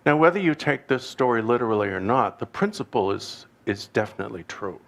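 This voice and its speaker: A man with a Canadian accent giving an informal dharma teaching, talking in a halting, digressive way with wry, self-deprecating humor. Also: mid-range voice, lively intonation, fairly bright tone. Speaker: Buddhist teacher.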